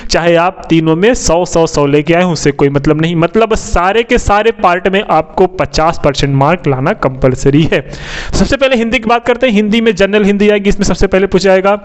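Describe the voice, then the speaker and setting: A man's voice: sits high, fairly quick. A man teaching in an informal lecture style, repeating key points, asking questions and answering them himself, often adding tag questions. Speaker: exam coaching teacher. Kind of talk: informal explanatory lecture on an exam syllabus.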